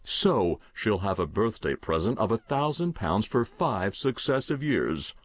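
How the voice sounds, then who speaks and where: A man's voice: fairly low pitch, very animated, unhurried, no room sound, dull audio. A man reading aloud, voicing a wry, indulgent middle-aged uncle who talks in a dry, questioning way.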